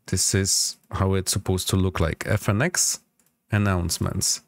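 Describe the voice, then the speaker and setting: A man hosting an informal step-by-step software tutorial, instructive and often checking in with the listener. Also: low-pitched, level tone, a little slow, creaky, dry recording. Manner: instructive, checks in with frequent "okay?". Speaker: tutorial host.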